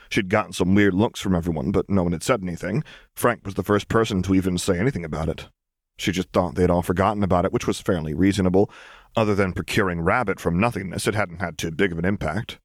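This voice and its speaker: A man narrating a serialized fan novel in a steady, even way. His voice sits fairly low and is recorded close and dry, with no room sound. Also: fast, no echo. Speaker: audiobook reader. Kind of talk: reading a fan novel aloud.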